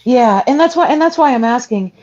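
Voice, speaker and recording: A woman's voice: high voice, expressive, brisk, a little mellow. A woman guest in a casual livestream discussion, explaining herself carefully and questioning her own certainty. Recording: slightly dull streaming audio.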